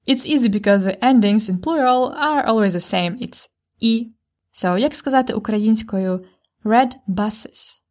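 A woman from Ukraine, speaking English with a Ukrainian accent, hosting a podcast language lesson and slowly modelling words for learners to repeat. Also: on the high side, a little mellow. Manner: clear, slow model pronunciations. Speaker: language teacher, podcast host.

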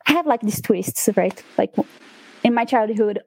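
A woman's voice: mid-range voice, animated, normal speed, creaky, a little mellow, slightly rough, no echo, poor audio. A young woman from Brazil with a Brazilian Portuguese accent, chatting casually as a guest author with lots of fillers and laughs. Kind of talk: casual interview chat.

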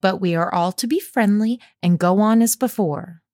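A woman voicing a gentle, shy young woman with a soft, prim, earnest manner. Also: medium pitch, normal speed, no room sound, clean studio sound, natural voice. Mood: protesting.

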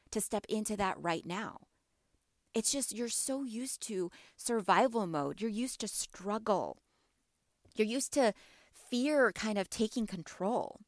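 A mother hosting a motivational podcast, her voice a little raspy from allergies, talking earnestly and encouragingly and asking her listeners question after question. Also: mid-range voice, no echo, clean studio sound. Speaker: podcast host.

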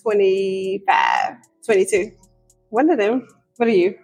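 A woman's voice: medium pitch, measured pace, darkish tone, slightly rough, faint music behind. Woman from virginia, late 20s, chill and candid, telling her story on a podcast. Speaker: guest. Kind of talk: casual interview conversation.

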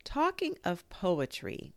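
A woman voicing an amiable, slow-witted male toy bear in a children's storybook, speaking simply and earnestly with some hesitation. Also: on the low side, wildly swooping pitch, gravelly, a bit bright, no room sound, voice pushed up, full character voice. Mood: earnest, puzzled.

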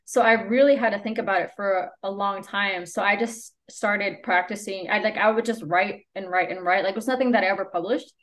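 A woman's voice: medium pitch, brisk, hardly any pauses, fairly bright tone. A woman whose first language is Spanish, chatting casually as a podcast guest, peppering her informal talk with "like". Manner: informal, frequent "like" as filler. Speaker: podcast guest, copywriter and business owner. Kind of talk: casual interview conversation.

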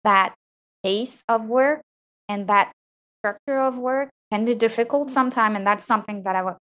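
A woman with a fairly high voice speaks thoughtfully as an interview guest. She is frank about her experience and often stops to restart a sentence. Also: normal speed, a bit bright. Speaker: interview guest, PhD graduate. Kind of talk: reflective interview answers.

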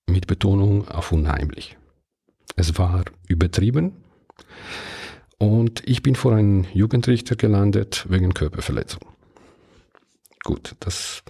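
Low, dark-voiced Italian-accented man. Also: vocal fry, husky. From Ticino, Switzerland. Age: 50s. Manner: calm, candid, conversational with small hesitations. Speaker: podcast host. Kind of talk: solo podcast monologue.

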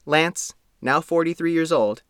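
A man narrating a scripted profile story as its reporter, reading in a measured, formal way. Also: on the high side, a bit bright, clean tone, dry recording, studio quality.

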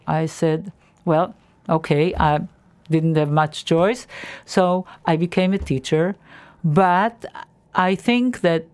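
This is a woman in her seventies who grew up in Israel, her voice sitting a little low. She recalls her schooling and teaching career in an interview, earnest and animated, often restarting her sentences. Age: 70s.

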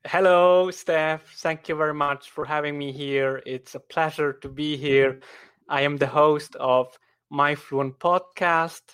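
Slow, halting Swiss German man. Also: on the high side, steady tone, a bit bright, smooth. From Switzerland. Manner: halting, with frequent restarts and "right?" tags. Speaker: podcast guest. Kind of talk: casual interview chat.

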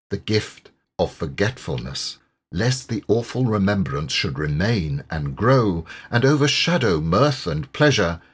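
A male audiobook narrator reads literary storybook prose with expression. His voice is on the low side, with a husky, breathy grain, and his delivery is measured and carefully enunciated.

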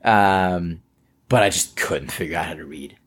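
Young man from Israel, Hebrew native, low-ish voice, earnest interview guest. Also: a little slow, a bit bright. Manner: earnest, personal storytelling with fillers. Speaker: guest, company founder. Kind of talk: casual interview.

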